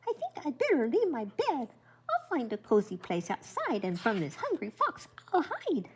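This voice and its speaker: An older woman voicing a small, frightened male hare in a storybook, in a high squeaky voice. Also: huge pitch swings, moderate pace. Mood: fearful.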